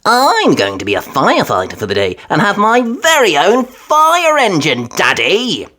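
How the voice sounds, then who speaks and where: An excitable little girl pig from a children's storybook, voiced by a woman. The voice is pitched way up and swings about with eager enthusiasm. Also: moderate pace. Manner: bright-eyed eagerness, exclaiming.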